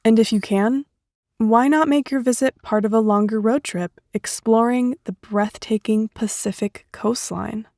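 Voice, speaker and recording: A woman reading scripted city tour narration for English learners in a measured, clearly phrased way. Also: on the high side, darkish tone, dry recording, clean studio sound.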